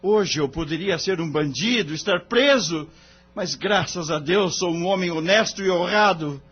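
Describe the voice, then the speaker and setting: An actor playing an earnest, upright young lawyer with a Brazilian accent, polite and sincere, in an audio drama. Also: male voice, high voice, normal speed, breathy edge. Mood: earnest, firm. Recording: dull lo-fi audio, music underneath.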